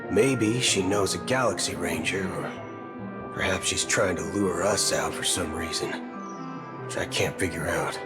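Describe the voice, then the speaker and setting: Low-voiced, laid-back shrewd traveller. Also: male voice, a little slow. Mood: musing, serious. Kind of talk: video game story dialogue acting. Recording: music under the voice.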